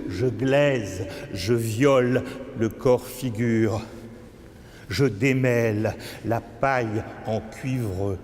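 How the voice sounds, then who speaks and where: A man performing avant-garde spoken-word poetry in a declamatory way, swinging between low muttered phrases and high, drawn-out cries. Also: a little mellow. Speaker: poet performing own work. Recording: long cavernous reverb with background noise.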